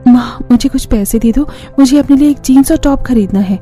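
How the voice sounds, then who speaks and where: A woman's voice: high-pitched, brisk, dark tone, soft background music, natural voice. A woman voicing an aggrieved twenty-year-old daughter, pleading and protesting in a family cartoon. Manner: plaintive, protesting. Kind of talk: Hindi family cartoon voice acting.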